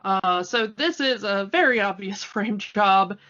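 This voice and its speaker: Bright-voiced woman podcaster, unhurried pace. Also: medium pitch, expressive, muffled recording. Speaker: podcast co-host. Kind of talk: casual chat recapping a TV cartoon.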